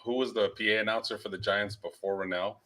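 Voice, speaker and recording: Low bright-toned male co-host. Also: fairly even intonation, quick pace. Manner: laid-back, self-deprecating banter. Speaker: sports stream co-host. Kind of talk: casual live-stream sports chat.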